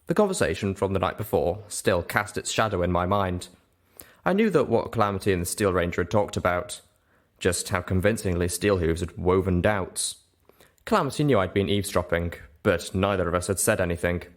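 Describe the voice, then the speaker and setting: Man, low voice, audiobook narration, scripted first-person storytelling. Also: expressive, brisk.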